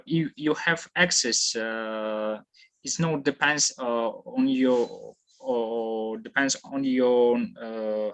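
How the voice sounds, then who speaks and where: Slow halting man with a Tajik accent. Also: medium pitch, a bit bright. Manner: halting, many fillers and restarts, searching for words. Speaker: student presenter. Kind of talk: conference Q&A answer.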